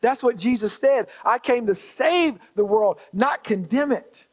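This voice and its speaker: A man's voice: very high-pitched, unhurried. A man preaching a sermon to a congregation, emphatically repeating key phrases and slipping in conversational asides and rhetorical questions.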